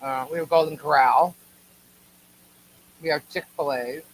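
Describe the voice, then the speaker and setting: A man's voice: fairly high pitch, fairly even intonation, unhurried, rough recording. A man chatting casually as a co-host on a live stream. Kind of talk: casual chat. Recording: clear background noise.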